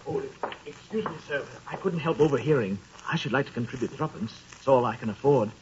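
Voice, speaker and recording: An actor in a 1930s radio drama playing a meek, timid poor clerk who speaks nervously and deferentially. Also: male voice, medium pitch, brisk, a little mellow, slight background noise, close to an everyday voice. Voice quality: nervous and deferential, says sir constantly. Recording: muffled 1930s radio broadcast.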